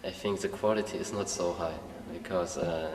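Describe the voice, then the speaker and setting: A man's voice: sits low, fairly even intonation, moderate pace. A man speaking as an in an interview, hesitant and searching for words. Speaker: interviewee at a school. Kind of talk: interview answer. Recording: echoey hall, distant mic.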